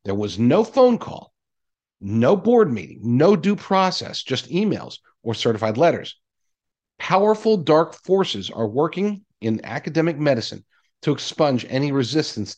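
A man with a mid-pitched voice hosts a news commentary show. He reads from articles and breaks off for asides, speaking emphatically and repeating key phrases for weight. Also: a little mellow.

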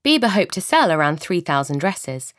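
A young British woman narrates a scripted audiobook. Her voice sits slightly low but moves widely and expressively, with wry asides. The sound is close and very dry, as in a studio booth. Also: very animated, normal speed, dry recording. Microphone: close studio microphone.

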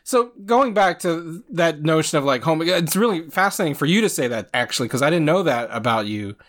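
A man's voice: fairly high pitch, expressive, brisk, fairly bright tone. A man from Virginia co-hosting a podcast, chatting casually, reflective and teasing, and laughing often. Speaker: podcast co-host. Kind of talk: casual conversation.

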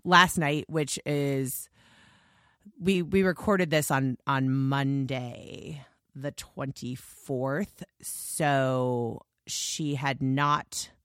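Hoarse, scratchy-voiced woman podcast host. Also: a bass voice, fairly even intonation, extremely slow, fairly bright tone, dry recording, studio quality. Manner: gushing, rambling with restarts. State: hoarse, lost voice. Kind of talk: casual podcast monologue.

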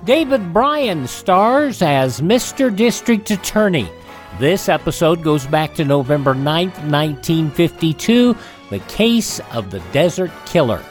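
A woman's voice: deep voice, big pitch swings, a little slow. A woman giving a scripted introduction as a radio program announcer. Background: faint music.

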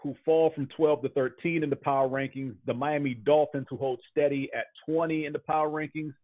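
A male sports podcast host chatting casually about the week's games. His voice sounds thin and phone-like, with no room echo at all. He runs through injury reports and team rankings in a relaxed way. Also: on the high side, fairly quick. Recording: tinny phone-like dry sound.

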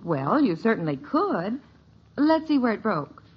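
Exasperated mother, husky, animated pitch, 1950s radio comedy, dull old broadcast sound. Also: unhurried, full character voice. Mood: exasperated patience. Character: exasperated, sensible suburban mother, middle-aged. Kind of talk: 1950s radio sitcom acting.

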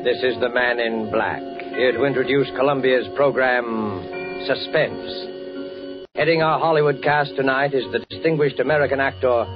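A man hosting a 1940s radio thriller series with a formal, measured, ominous and theatrical scripted introduction. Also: mid-range voice. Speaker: mysterious radio series host. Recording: muffled vintage 1940s radio recording.

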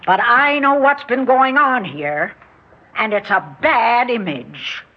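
Husky, imperious old matriarch. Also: female voice, on the high side, lively intonation. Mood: stern. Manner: commanding, cutting, deliberate. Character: imperious sharp-tongued old matriarch, eighty-four, company director. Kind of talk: 1960s radio drama acting. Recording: dull 1960s radio recording.

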